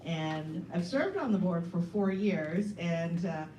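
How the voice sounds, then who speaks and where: A woman's voice: fairly low pitch, lively intonation, takes it slowly, warm tone, muffled recording. A woman on an advisory board introducing herself at a meeting in an upbeat, enthusiastic way. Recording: echoey room, distant mic, background noise.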